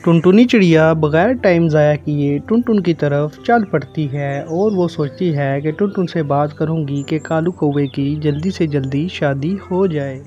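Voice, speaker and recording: A man's voice: fairly high pitch, measured pace, hardly any pauses, mellow. A man narrating a scripted cartoon moral story as the storyteller, in a lo-fi recording. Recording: dull lo-fi sound with music. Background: music.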